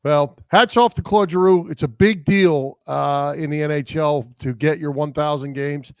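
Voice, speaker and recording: A man's voice: a little high, steady tone, normal speed, clean tone, dry recording. A man co-hosting a casual sports podcast, chatting informally and sometimes hesitating as he thinks.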